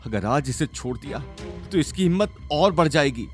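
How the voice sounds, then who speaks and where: A man's voice: a little high, animated, moderate pace, faint music behind, natural voice. A man voicing an angry, spiteful young woman within a narrated audio story. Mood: tearful, indignant. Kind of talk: storytelling character dialogue.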